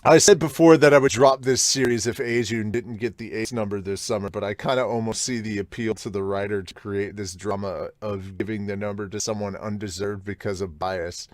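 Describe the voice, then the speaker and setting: Low-voiced, blunt man on a podcast. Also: a little fast, few pauses, no room sound. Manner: profane, excitable, blunt. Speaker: podcast co-host reviewing manga. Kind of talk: casual reaction chat with comments read aloud.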